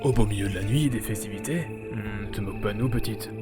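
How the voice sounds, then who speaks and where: A man's voice: on the low side, quick pace, music in the background, lightly acted. A man acting a mocking, suspicious temple guard in an audio drama, with a menacing, sneering manner.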